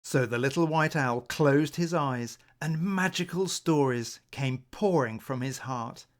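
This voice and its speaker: A man narrating a children's bedtime story in a gentle, measured manner. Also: a little high.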